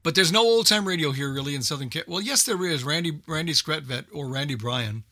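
A man's voice: on the high side, animated, brisk, sharp tone, no echo, clean studio sound. An older American man chatting casually in a group, speaking emphatically and repeating himself for emphasis.

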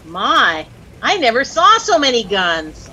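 An actress with an American accent playing a chirpy, easily dazzled young housewife who gushes and lightly scolds in a 1940s radio comedy. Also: female voice, fairly high pitch, wildly swooping pitch, slow, bright, forceful tone, muffled recording, full character voice. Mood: gushing, admiring. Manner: gushing, breathlessly admiring, lightly scolding. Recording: noisy old-time radio recording.

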